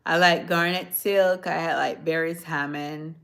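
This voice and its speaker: A woman from Jamaica chatting on a phone livestream, answering viewers' comments in a soft-spoken, sometimes hoarse voice. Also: low voice, steady tone, slow. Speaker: livestream host. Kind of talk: casual livestream chat with viewers.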